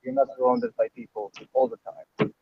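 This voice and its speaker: A man's voice: mid-range voice, little pitch movement, moderate pace, background noise. A man from Algeria, an Arabic speaker, answering as a lecture participant over a video call, hesitant and full of fillers. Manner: hesitant, many "like" and "you know" fillers. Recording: dull, dry online call audio.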